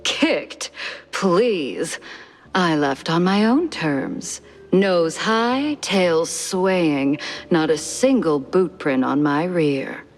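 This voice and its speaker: A woman's voice: lowish voice, animated. A woman voicing a flirtatious, proud socialite mouse in a noir video game, arch and theatrical, drawing out her words. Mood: haughty. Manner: languid, arch, drawn-out words. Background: music.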